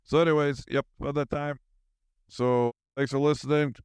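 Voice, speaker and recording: A man's voice: fairly high pitch, flat delivery, moderate pace, smooth, no echo. A man hosting a solo podcast in a casual, rambling monologue, with a goofy manner and silly asides.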